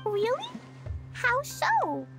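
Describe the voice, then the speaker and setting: A woman's voice: very high voice, huge pitch swings, extremely slow, over music, heavily acted. A woman voicing a sweet, earnest, innocent little girl in a video game, speaking in a simple childlike way. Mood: innocent, puzzled. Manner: childlike, talks of self in third person.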